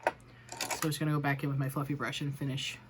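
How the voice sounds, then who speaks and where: Low-voiced chatty woman, a bit raspy. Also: flat delivery, fast talker. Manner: chatty, self-deprecating, narrating each step. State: slightly raspy from a cold. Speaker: beauty vlogger. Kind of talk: casual makeup tutorial chat.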